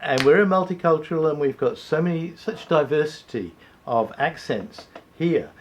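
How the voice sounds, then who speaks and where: A man co-hosting a talk segment, speaking in a measured, deliberate way and slowing down to stress key points. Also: on the high side, lively intonation, slight background noise. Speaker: co-host. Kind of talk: scripted conversational presenting.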